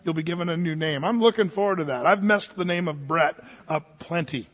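Male pastor, high-ish voice, conversational sermon with playful asides; muffled sound in a reverberant sanctuary. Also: brisk, a bit bright, husky. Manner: conversational, joking asides, acts out quoted voices. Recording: dull sound with long hall reverb.

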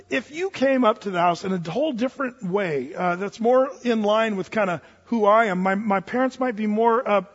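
Pastor guy preaching, kinda high voice, chatty and jokey, long church reverb, dull sound. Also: slightly rough. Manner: conversational, joking asides, acts out quoted voices. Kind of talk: sermon.

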